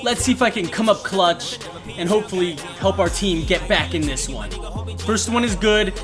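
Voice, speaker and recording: A man's voice: sits high, normal speed, music in the background. A man giving self-hyping play-by-play commentary on his own basketball video game, narrating each play in the present tense. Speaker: video gamer and channel host.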